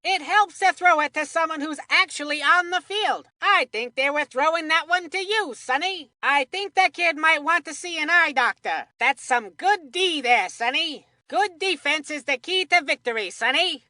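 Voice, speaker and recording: An actor voicing an excitable, folksy cartoon commentator calling kids' baseball with corny, punchy one-liners. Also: sits high, moderate pace, hardly any pauses, no echo, studio quality, heavily acted. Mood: wry, dismayed. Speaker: sports commentator.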